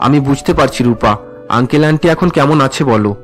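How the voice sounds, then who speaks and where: A man's voice: medium pitch, fast, soft background music, lightly acted. A man voicing an earnest, concerned young man in a cartoon family drama. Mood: sympathetic. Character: earnest young men: husband, student, dutiful son.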